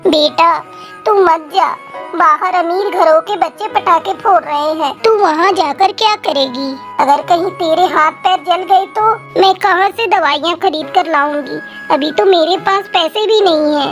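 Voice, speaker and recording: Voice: very high-pitched, measured pace, a bit bright, heavily acted. A woman voicing a poor, anxious mother crow in a children's cartoon, scolding and protective. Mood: worried. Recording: music behind.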